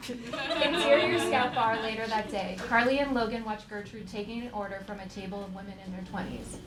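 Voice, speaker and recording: A woman's voice: on the high side, a little fast, over a noisy crowd. A woman reading scripted stage directions aloud at a live comedy table read. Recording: echoey, noisy live stage.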